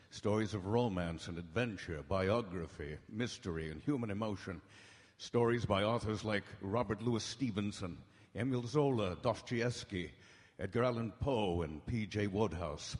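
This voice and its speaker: A man's voice: on the low side, natural voice. A man playing an urbane young theater director hosting a 1930s radio show, formal and theatrical. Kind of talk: 1930s radio host address. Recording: echoey town hall stage.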